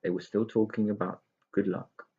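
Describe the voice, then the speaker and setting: A man with a gravelly, husky voice reading a children's story expressively as a storyteller. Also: on the low side, flat delivery, moderate pace, warm tone, muffled recording.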